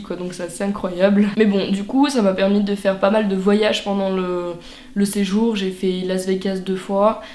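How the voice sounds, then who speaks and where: A woman's voice: mid-range voice, a little mellow, reverberant. A teenage girl from France vlogging casually to camera in her bedroom, chatty with lots of fillers and restarts. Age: teens. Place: bedroom, indoors.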